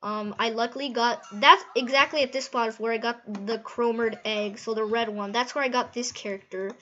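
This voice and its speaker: A woman's voice: fairly high pitch, steady tone, fairly quick, a bit bright, highs cut off. A woman giving casual, rambling, easily amazed gameplay commentary and giggling as she goes. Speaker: gaming video host.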